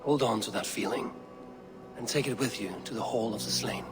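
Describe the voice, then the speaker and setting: An actor playing a stern, loyal Viking clan leader in a video game, speaking in an earnest, measured way. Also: male voice, mid-range voice, over loud music, lightly acted. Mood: solemn. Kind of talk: dramatic video game acting. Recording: long reverb, clear ambient noise.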